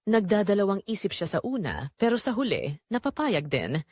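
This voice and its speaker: A woman narrating a romantic drama in a measured, sentimental storytelling manner. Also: medium pitch, wildly swooping pitch, darkish tone, dry recording, clean studio sound.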